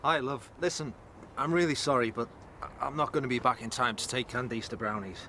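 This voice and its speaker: An actor playing a stressed, stubborn engineer, curt and defensive, in a naturalistic drama film. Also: male voice, mid-range voice, fairly quick, sharp tone. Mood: apologetic, tense. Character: stressed, stubborn working husband and van-driving engineer. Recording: vehicle and location noise.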